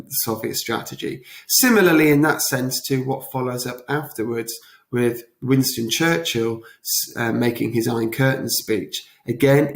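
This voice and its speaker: A man giving an explanatory revision lesson as a teacher, talking in a deliberate, emphatic way with rhetorical check-ins. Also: medium pitch. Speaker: history teacher. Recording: echoey, roomy sound.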